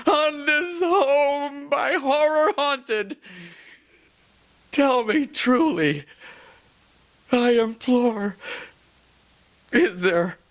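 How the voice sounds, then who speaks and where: A man's voice: extremely high pitch, very slow, pauses often, fairly bright tone, pitched above the performer's own voice, exaggerated voice. A man playing an anguished, grieving man in a theatrical poetry recitation, desperate, wailing and melodramatic. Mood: desperate pleading.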